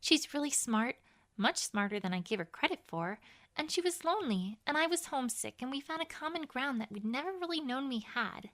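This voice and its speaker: Woman doing a nerdy earnest pony girl reading her letters out, high voice, chatty and emphatic. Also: lively intonation, a little fast, a bit bright. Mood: flustered, confiding. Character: earnest, bookish young female pony scholar. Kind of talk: fan audio drama letter reading.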